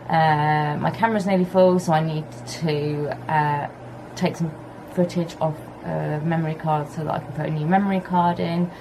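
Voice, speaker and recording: A woman chats to her vlog camera at home, her low voice worn husky and hoarse with a gravelly edge. She rambles in an easygoing, unhurried way. A faint hum from a fan sits under her voice. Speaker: vlogger. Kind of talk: casual vlog chat to camera. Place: home on a hot day, fan running. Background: fan and pressure washer outside.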